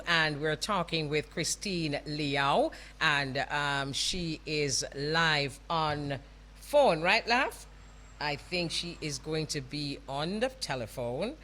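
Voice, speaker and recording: A woman's voice: sits low, very animated, slow, a bit bright. A woman with a Jamaican accent hosting a radio show, chatting casually, often laughing and calling people love. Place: radio studio.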